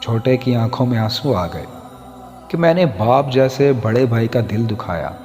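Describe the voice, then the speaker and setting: A man narrating a scripted moral story as a storyteller, in a measured, formal literary manner. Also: mid-range voice, lively intonation, dark tone. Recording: long hall reverb, music underneath. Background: background music.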